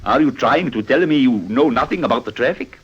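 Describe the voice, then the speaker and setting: An actor playing a stern, suspicious Italian police chief in an old radio drama, questioning formally. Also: male voice, mid-range voice, expressive, fairly quick, a bit bright, slightly rough. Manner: formal, interrogating. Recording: dull old radio recording.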